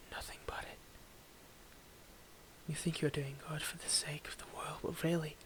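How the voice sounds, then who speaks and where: Casting: male actor as cold tsundere villain for audio roleplay. Slightly high male voice, domineering, condescending, intimate and theatrical. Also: low-quality recording. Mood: hushed, condescending. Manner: condescending, theatrical, swinging between ranting and hushed murmurs. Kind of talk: scripted audio roleplay monologue, intimate address.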